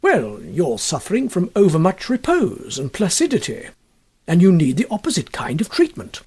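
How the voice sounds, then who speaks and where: Husky, droll gentleman adviser, played by a man. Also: high voice, huge pitch swings, normal speed, full character voice. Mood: droll, assured. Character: brisk, confident gentleman friend offering droll advice.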